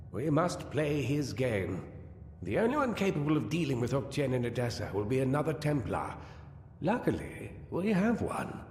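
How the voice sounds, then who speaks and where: A man playing a grave, persuasive counsellor in a fantasy game, speaking deliberately and formally. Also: on the high side, darkish tone, a little hiss or hum. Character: grave male counsellor and strategist, measured and persuasive. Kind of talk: scripted fantasy game dialogue. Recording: echoey hall, music behind.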